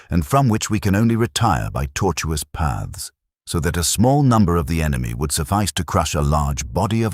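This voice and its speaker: A man narrating an audiobook of a classic treatise in measured, deliberate phrases. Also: rumbling low, darkish tone, no room sound, clean studio sound. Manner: measured, declarative delivery in short deliberate phrases.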